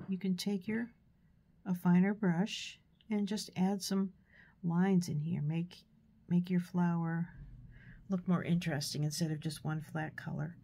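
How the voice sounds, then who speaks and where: A woman with a stuffy nose from a cold, giving a relaxed, chatty painting tutorial with fillers and soft asides. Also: fairly low pitch, gravelly. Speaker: art tutor.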